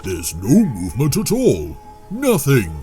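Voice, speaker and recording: A man voicing a gruff, plucky male robot sergeant major in an audio drama, blunt and excitable. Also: mid-range voice, unhurried. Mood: alarmed. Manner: blunt and excitable, calls others lad. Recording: music bed under the voice.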